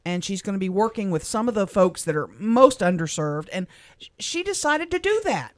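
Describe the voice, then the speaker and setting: A middle-aged woman from Louisiana, a radio co-host, speaking softly and with emotion as she reads a personal letter on air in a studio. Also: mid-range voice, big pitch swings, normal speed, dry recording. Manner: heartfelt, soft-spoken, emotional.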